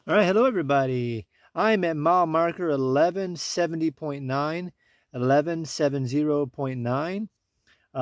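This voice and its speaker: A man's voice: fairly high pitch, dry recording, studio quality. Easygoing man vlogging on a mountain trail. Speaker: thru-hiker vlogger. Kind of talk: casual trail vlog. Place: outdoors on a wooded mountain trail. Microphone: phone camera at arm's length.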